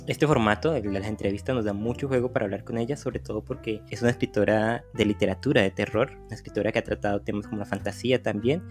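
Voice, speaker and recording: A man co-hosting a podcast interview, friendly and casual, with some halting restarts. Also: lowish voice, faint music behind. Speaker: podcast co-host.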